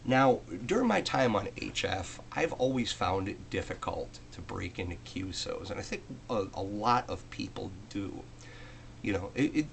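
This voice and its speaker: A man's voice: on the low side, gravelly, a little hiss or hum. An American man talking casually and off the cuff as a hobbyist vlogger, conversational and a little self-deprecating. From United States. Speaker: amateur radio hobbyist presenting a video. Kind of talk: casual unscripted vlog talk.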